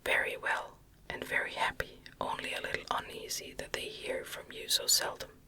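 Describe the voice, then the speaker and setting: A woman whispering an ASMR reading of a classic novel in a soft, careful, deliberate delivery, close to the microphone. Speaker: audiobook narrator.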